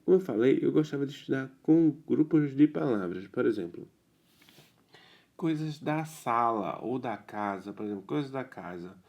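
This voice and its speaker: A man teaching English in a casual video lesson, explaining in a relaxed way and often checking in with his viewers. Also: medium pitch, moderate pace, creaky, dull audio. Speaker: self-taught English tutor.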